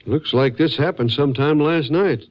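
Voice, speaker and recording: An actor playing a plain-spoken, easygoing Texas sheriff in an old radio drama, laconic and folksy, with a Texan accent. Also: male voice, fairly high pitch, normal speed, lightly acted. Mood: puzzled, sober. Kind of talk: mid-century American radio drama acting. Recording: muffled, noisy vintage radio recording.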